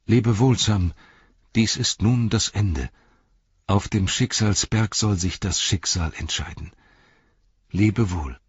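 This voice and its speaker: A man's voice: on the low side, slow pace, long pauses, husky, no room sound, highs cut off, full character voice. A man voicing a resolute, pitiless hero in a storybook, speaking imperiously and solemnly. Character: stern, commanding male hero, pitiless, resolute.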